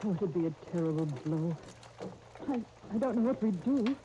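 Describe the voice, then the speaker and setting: A woman's voice: on the low side, lively intonation, lo-fi sound, lightly acted. A timid middle-aged aunt acted by a woman in a 1930s radio play. She frets and falters anxiously as she speaks. Heavy surface noise covers the old recording. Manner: fretful, hesitant, slow. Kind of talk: 1930s radio serial acting.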